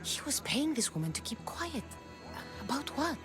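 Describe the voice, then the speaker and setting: Terse, wry mercenary, music behind. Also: female voice, sits high, very animated, normal speed. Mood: pensive. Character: Cassandra, hardy, wry female Greek mercenary. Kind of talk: video game voice acting.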